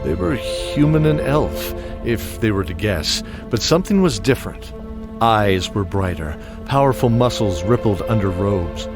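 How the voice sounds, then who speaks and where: A man's voice: lowish voice, expressive, a little slow. A man narrating a scripted fantasy audio drama. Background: loud music.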